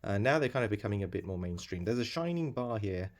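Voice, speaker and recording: A man with a fairly low-pitched voice talks through an unboxing in a casual way. He thinks aloud as he goes, wandering between remarks and often repeating himself like an informal product reviewer. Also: lively intonation, quick pace.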